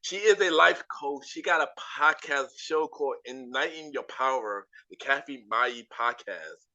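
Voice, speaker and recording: A man hosting a podcast, talking in a relaxed, slangy way and often repeating and restarting phrases. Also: mid-range voice, normal speed, low-quality recording. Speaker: podcast host. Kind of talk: casual interview chat.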